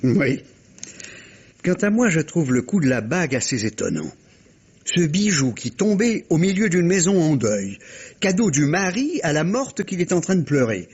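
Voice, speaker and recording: An actor playing a courteous, teasing police inspector with a deliberate manner in a 1950s French radio mystery. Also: male voice, fairly high pitch, big pitch swings, darkish tone, breathy edge, exaggerated voice. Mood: wry. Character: wry, courteous police inspector explaining a murder case. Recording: dull vintage radio recording.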